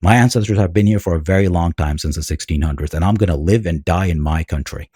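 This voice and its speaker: A man's voice: low-pitched, fast talker, a little mellow, breathy edge, no room sound, studio quality. An American man ranting bluntly and combatively in a commentary vlog. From United States. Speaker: political commentator.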